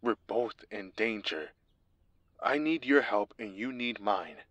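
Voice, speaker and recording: A man's voice: on the low side, lively intonation, slow, fairly bright tone, no room sound, lo-fi sound. A man playing a nervous, secretive corporate lawyer in an audio drama, speaking in an urgent, guarded, pleading manner. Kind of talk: audio roleplay acting, one side of a phone call.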